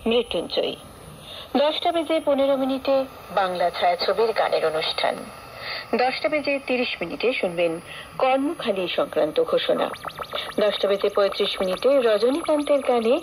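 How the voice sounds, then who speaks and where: A woman with a West Bengal accent reads a scripted programme schedule as a radio announcer, in a formal, measured way, over a shortwave broadcast. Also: fairly high pitch, poor audio.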